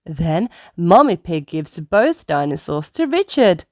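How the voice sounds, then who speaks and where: A woman reading a children's story aloud in an animated manner aimed at young children. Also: lowish voice, takes it slowly, no echo, clean studio sound.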